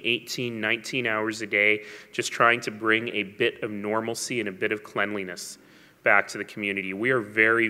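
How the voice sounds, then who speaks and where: A man in his forties delivering a humorous public speech with a lowish pitch and a bright, forceful tone. He tells stories with wry asides and emphatic punches. The sound carries a long reverb from a large hall. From Canada. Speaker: mayor giving a luncheon speech.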